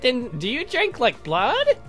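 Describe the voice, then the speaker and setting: A man's voice: very high voice, huge pitch swings, slow, fairly bright tone, soft background music, voice pushed up, heavily acted. A man voicing a naive, nosy, confused young man in a game, blurting out hesitant questions. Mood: curious.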